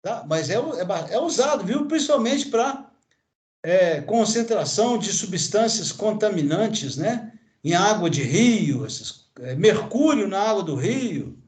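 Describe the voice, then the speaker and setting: A man's voice: high voice, big pitch swings, slow pace, a little mellow. A Brazilian man in his sixties or older, a chemistry teacher giving a lecture, pointing at a graph and tagging nearly every phrase with questions to his class. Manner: pointing at a graph with constant tag questions and fillers. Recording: echoey room.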